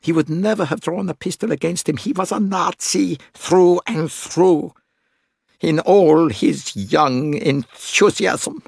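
Man acting dry, grave, husky middle-aged father. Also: on the high side, slow pace, darkish tone, no echo, voice pushed up, heavily acted. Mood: fervent. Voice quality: dry, hoarse.

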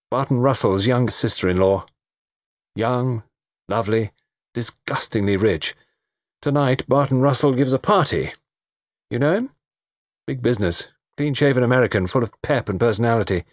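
A man voicing a flippant, lovesick young society gentleman with a theatrical, bantering, mock-gloomy way of talking. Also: mid-range voice, lively intonation, moderate pace, pauses often, no echo, clean studio sound, voice pushed up, lightly acted. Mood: mock misery.

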